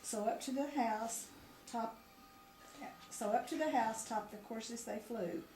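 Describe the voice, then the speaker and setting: A woman's voice: a little high, measured pace, darkish tone. Woman reading verse, echoey and noisy. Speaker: family member reading a poem aloud. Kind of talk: read-aloud Christmas poem. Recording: echoey home recording with clear noise.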